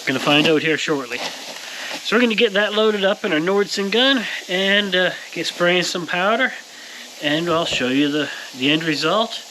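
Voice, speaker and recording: A man's voice: high voice, animated, moderate pace, background noise. A man hosting a casual workshop vlog, speaking to viewers in an informal, folksy way. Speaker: host of a powder coating workshop video. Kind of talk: casual to-camera shop vlog.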